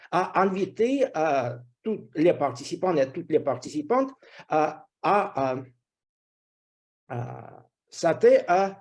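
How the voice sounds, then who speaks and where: A high-voiced man with a foreign accent gives a prepared evaluation. He is not a native speaker and talks slowly and haltingly, filling the gaps with hesitation sounds. His voice is dark and soft-edged. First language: not French. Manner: halting, hesitant delivery with constant filler sounds. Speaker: grammarian giving a report at a speaking club.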